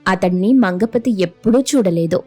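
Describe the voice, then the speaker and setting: A woman's voice: medium pitch, normal speed, mellow, slightly rough, faint music behind. A woman storyteller narrating a scripted moral tale.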